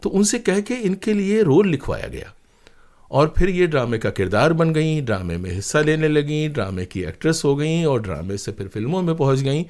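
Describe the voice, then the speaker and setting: A man with an Indian accent talking as an interview guest, telling stories in an animated, emphatic, conversational way. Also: a little high, moderate pace. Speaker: interview guest, broadcaster and playwright.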